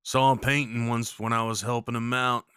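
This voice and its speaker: Man acting, Old West cowboy, protective, awkwardly kind, informal, medium pitch, intimate roleplay, dry recording. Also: flat delivery, normal speed, sharp tone, smooth, no echo, close to an everyday voice. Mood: solemn, sympathetic. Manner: folksy, reassuring, informal.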